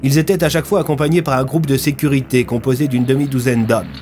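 A man's voice: mid-range voice, fairly even intonation, quick pace, darkish tone, slight background noise. A young man telling a scripted mystery story as the dramatic narrator of an audio fiction series. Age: young adult.

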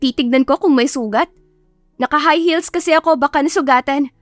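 A woman's voice: sits high, a little fast, dry recording, pitched above the performer's own voice, lightly acted. A woman voicing a naive, feisty young bride in an audiobook, stammering and exclaiming shrilly. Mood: innocent concern. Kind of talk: audiobook character acting. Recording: music behind the voice.

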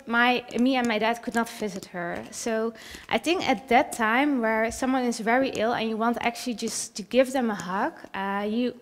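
A young woman presenting her research on a theater stage, earnest and thoughtful, with many fillers and restarts. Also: on the high side. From Netherlands. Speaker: researcher presenting at a talk night.

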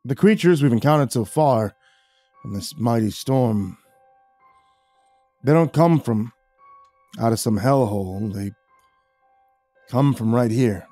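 A man's voice: medium pitch, takes it slowly, pauses often, dark tone, no room sound, soft background music. A man voicing a tired, guilty older father in a spoken horror story, sighing as he talks. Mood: weary.

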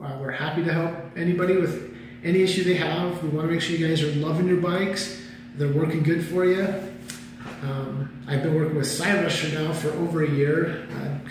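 A man's voice: fairly high pitch, noisy background. A man in his forties, a warehouse director, giving a rambling, self-deprecating tour of the office and warehouse, full of fillers. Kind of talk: casual company tour. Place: office, then large warehouse. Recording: long warehouse reverb.

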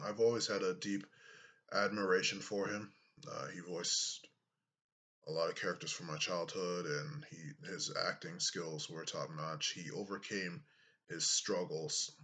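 A man in his thirties with a deep voice, talking to his webcam in a casual vlog from a small room, unhurried and thoughtful, with many fillers. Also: bright, forceful tone. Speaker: YouTuber.

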